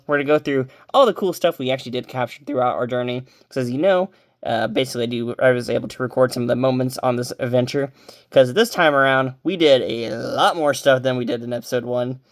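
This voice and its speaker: A man's voice: mid-range voice, no room sound. A man hosting a casual video, talking loosely and chattily with fillers. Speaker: host.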